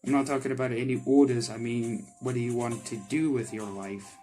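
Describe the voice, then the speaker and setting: A man's voice: medium pitch, steady tone, brisk, dark tone, soft background music, close to an everyday voice. A man voicing a blunt but caring candy shop owner in a visual novel, speaking in a dry, casual way.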